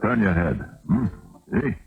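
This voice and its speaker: An actor playing a gruff, sly, down-and-out Russian drifter in a radio drama, grumbling in a hesitant drawl. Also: male voice, fairly low pitch, expressive, measured pace. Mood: grumbling, resigned. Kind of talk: old-time radio drama acting. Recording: dull 1970s radio recording with music.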